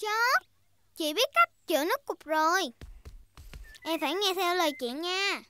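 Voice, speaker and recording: A woman's voice: very high-pitched, lively intonation, unhurried, sharp tone, a little hiss or hum, heavily acted. A woman voicing an eager, bossy little girl pig in a children's cartoon dub, explaining things like a big sister. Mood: bossy, instructing. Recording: music behind the voice.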